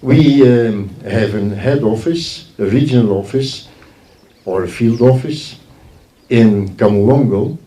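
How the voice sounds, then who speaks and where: Male guest speaker, unhurried, emphatic, mellow tone, echoey distant recording. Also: mid-range voice. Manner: emphatic, repeats phrases for effect. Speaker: guest speaker from a forestry organisation. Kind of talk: informal public speech at a ceremony. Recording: echoey speech heard from far off.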